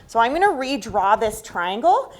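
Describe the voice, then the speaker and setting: Woman, math teacher, slightly high voice, classroom lesson, step-by-step explaining, frequent check-in questions. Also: very animated, normal speed. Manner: checks understanding with questions and tags.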